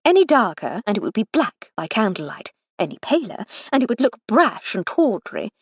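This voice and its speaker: A woman's voice: fairly high pitch, sing-song, normal speed, husky, dry recording, dull audio. A woman voicing a posh, teasing upper-class English lady who drawls with playful mockery. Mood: airy. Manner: arch, drawling and playfully mocking.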